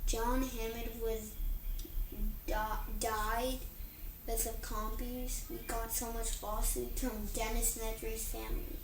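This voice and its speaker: A woman playing a grave, halting informant giving a serious report in an amateur horror drama. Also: on the high side, flat delivery. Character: grave female informant warning a doctor about dinosaurs. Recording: roomy, distant mic with clear noise.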